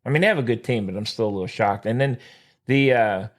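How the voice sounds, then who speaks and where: A man co-hosting a podcast, chatting casually about baseball in a lively, joking, teasing way. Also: medium pitch, fast talker. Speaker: podcast co-host. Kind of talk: casual sports chat.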